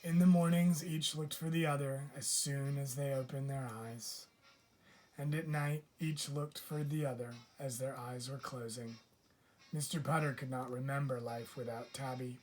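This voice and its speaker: A man reading a children's picture book aloud as a teacher would, with measured, deliberate phrasing. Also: mid-range voice, fairly even intonation, slight background noise, poor audio. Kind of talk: children's storybook read-aloud.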